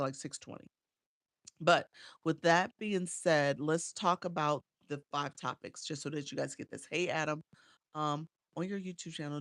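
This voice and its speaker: Woman, from Chicago, livestream coach, gravelly edge, dry close sound. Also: low voice, fairly bright tone, dry recording. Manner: chatty, reads comments aloud and answers them, frequent "um" and laughs. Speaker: live-streaming coach. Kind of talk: casual livestream chat, answering viewer comments. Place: small home setup. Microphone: small desk microphone.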